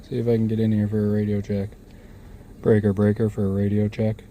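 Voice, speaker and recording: A man's voice: lowish voice, completely flat delivery, fairly quick. A man giving a casual, unscripted walkthrough of a hands-on job, half talking to himself as he works. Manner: unscripted, muttering to himself while working. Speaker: vlogger demonstrating a DIY vehicle install. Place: in and around a vehicle. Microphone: handheld camera microphone. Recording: noisy handheld camera, clicks and rattles.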